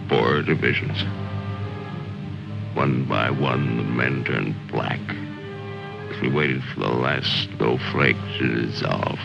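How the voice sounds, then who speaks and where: Very deep, gravelly brooding general. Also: male voice, heavily acted. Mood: somber. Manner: slow, solemn, theatrical musing. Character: brooding world-weary military general, philosophical and morbid. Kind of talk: old film dramatic monologue. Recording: dull old film soundtrack, loud music.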